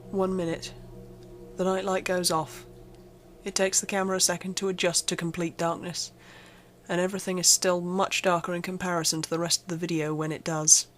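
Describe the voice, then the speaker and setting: A woman reading a first-person horror story aloud in a dramatic, suspenseful, acted-out way. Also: fairly low pitch. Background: music.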